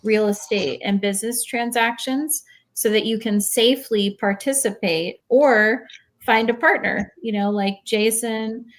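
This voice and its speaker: A woman's voice: on the high side, steady tone, measured pace. Woman giving earnest, informal advice. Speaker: real estate investor on a panel. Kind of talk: informal explanatory panel talk.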